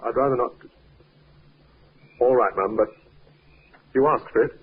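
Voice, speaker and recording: A tinny vintage radio recording of a brooding young servant with a very husky, mid-pitched voice. He is terse and deferential, with a lovestruck intensity. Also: unhurried. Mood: anguished, lovestruck. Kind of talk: 1950s radio drama acting.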